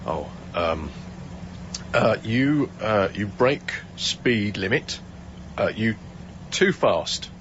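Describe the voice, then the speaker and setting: An actor playing a flustered British traffic policeman in a radio comedy, halting and stammering as he talks. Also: lowish voice, animated, a bit bright, heavily acted. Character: flustered, slow-witted male traffic policeman. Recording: muffled, noisy roadside radio scene.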